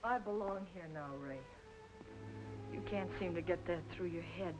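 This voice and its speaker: A woman's voice: lowish voice, normal speed, music in the background, natural voice, low-quality recording. An actress playing a bitter, proud, world-weary woman in an old film noir radio drama, cool, terse and cutting. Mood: defiant.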